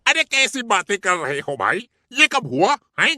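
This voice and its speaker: Man doing a shrill, nervous village man. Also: very animated, a little slow, pushed and bright, no room sound, clean studio sound, exaggerated voice. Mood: alarmed, curious. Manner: stammering, repeats words, agitated. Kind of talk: animated horror story acting.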